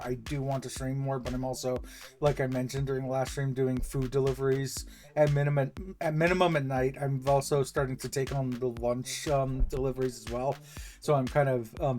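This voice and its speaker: A man streaming from home, chatting casually and rambling through shout-outs and plans with many pauses and restarts. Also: fairly high pitch. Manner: rambling, lots of ums and restarts. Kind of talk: casual livestream chat.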